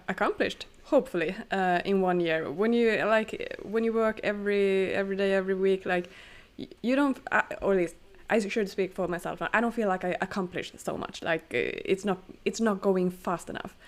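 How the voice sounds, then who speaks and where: Hesitant woman podcast co-host. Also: medium pitch. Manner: hesitant, many fillers and restarts, laughs often. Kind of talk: casual chat.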